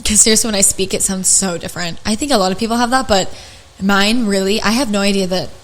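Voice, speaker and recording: A young woman with a slightly raspy voice hosts a casual podcast, pitched in the middle. She rambles through a story, restarting sentences and dropping in lots of "like" and "um". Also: fast. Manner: chatty storyteller, many fillers and restarts. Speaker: podcast host.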